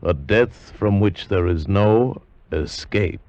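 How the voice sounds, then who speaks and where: A man's voice: low-pitched, slow pace, loud music behind the voice. A man playing a grave, ominous narrator of peril in 1950s radio drama style. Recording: muffled vintage 1950 radio recording.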